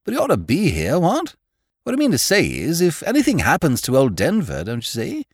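Guy doing a clueless posh english young gent, bumbling and tactless, swoopy pitch, dry sound. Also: huge pitch swings, a little fast, breathy edge, no echo, full character voice. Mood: blithe, tactless. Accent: upper-class English. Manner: bumbling, questioning, slangy. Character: tactless, dim, rambling upper-class English young gentleman.

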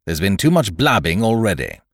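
A man's voice: fairly low pitch, very animated, brisk, no echo, studio quality, voice pushed up. A man voicing a stern, blunt, dutiful sea captain with a formal, firm way of talking, in a storybook reading. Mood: insistent. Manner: formal, firm, plain-spoken.

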